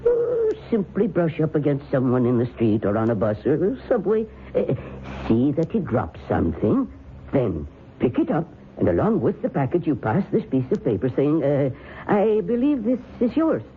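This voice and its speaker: An actor playing a coolly knowing, sinister professor in an old-time radio drama, measured and slyly amused. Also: male voice, fairly high pitch, huge pitch swings. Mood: matter-of-fact, sly. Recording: tinny, faintly noisy old radio broadcast.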